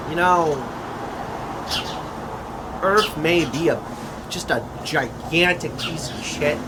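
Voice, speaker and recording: A man speaking in a halting, drawn-out way, as if in amateur character drama dialogue. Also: medium pitch, heavy background noise.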